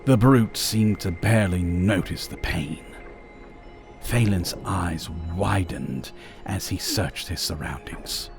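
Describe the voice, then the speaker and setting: A man narrating a fantasy audio drama in a measured, deliberate, dramatic storytelling manner. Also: sits low, gravelly. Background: music.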